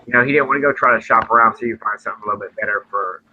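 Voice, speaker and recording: A man's voice: lowish voice, racing, bright, forceful tone, breathy edge. A forty-year-old man in casual chat, telling a rambling story. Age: 40s. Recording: dull lo-fi sound with faint noise.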